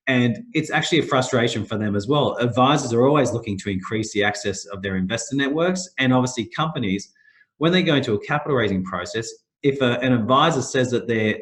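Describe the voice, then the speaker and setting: Nasal, under-the-weather man presenting. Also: medium pitch. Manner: loose, repetitive phrasing with many restarts and fillers. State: nasal, sick with a sore throat. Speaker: company managing director presenting a webinar. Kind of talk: webinar presentation.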